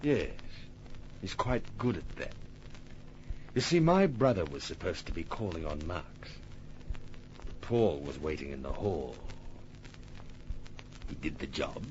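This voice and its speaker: Smooth evil killer from old radio crime show, slow talker, deep voice, polite but threatening, muffled old recording. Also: male voice, very animated, a little mellow, slight background noise, heavily acted. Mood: smug, cold. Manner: polite, menacing, deliberate. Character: suave, cold-blooded murderous impostor twin with a gun. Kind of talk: old-time radio crime drama acting.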